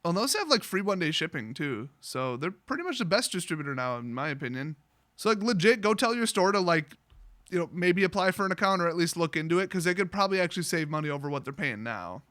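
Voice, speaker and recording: Male vlogger, high and bright voice, blunt sarcastic storytime delivery with plenty of swearing, recorded close. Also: very animated, fast talker, no room sound. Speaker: online vlogger telling a story, card seller. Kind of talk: casual rambling storytime rant.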